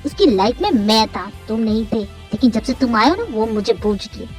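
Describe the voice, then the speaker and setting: An earnest young man, voiced by a woman who speaks quietly and steadily in a lowered voice. He sounds subdued and sincere, with a faint music bed. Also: animated, brisk, lightly acted. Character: calm young man, devoted friend.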